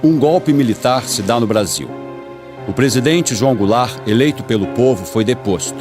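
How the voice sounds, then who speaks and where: A man's voice: medium pitch, animated, normal speed. A Brazilian man reading scripted radio documentary narration in a solemn, dramatic manner. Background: music.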